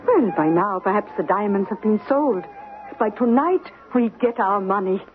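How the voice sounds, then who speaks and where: An actress playing a scheming, confident woman accomplice, calm and soothing with formal phrasing, in old radio drama style. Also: medium pitch, moderate pace, natural voice. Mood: reassuring. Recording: muffled old radio recording with music.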